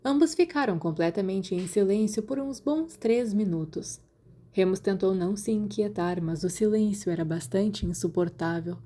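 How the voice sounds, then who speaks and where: A woman's voice: fairly low pitch, dark tone. A woman with a Brazilian accent reading scripted fiction as an audiobook narrator. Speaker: podfic narrator.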